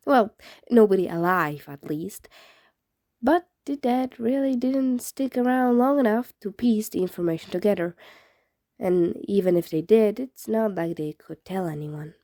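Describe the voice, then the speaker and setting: A woman narrates a piece of fan fiction like an audiobook. Her voice is mellow and relaxed, sitting at a middling pitch. The sound is very dry, with no room around the voice. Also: unhurried, dry recording. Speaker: fan fiction podfic reader. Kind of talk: scripted narration, audiobook-style story reading.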